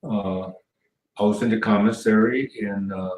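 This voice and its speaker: A man from Southern California telling stories as an interview guest, rambling with many pauses and restarts. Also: fairly low pitch, fairly even intonation, a little mellow, recorded from a distance. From Southern California, near the Mexican border. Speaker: guest, former TV cameraman. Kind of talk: casual storytelling interview. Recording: echoey room, voice from off mic.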